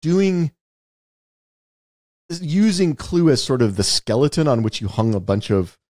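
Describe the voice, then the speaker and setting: A man's voice: mid-range voice, big pitch swings, a little slow, darkish tone, no room sound, studio quality. A man co-hosting a podcast in a casual movie discussion, thoughtful and circling back over his words as he builds a point. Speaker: podcast co-host.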